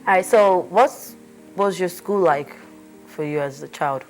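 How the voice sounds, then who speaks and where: A woman's voice: fairly low pitch, a little slow, faint music behind, rough recording. Woman interviewer asking short questions. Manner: brief, plain questions. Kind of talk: oral history interview.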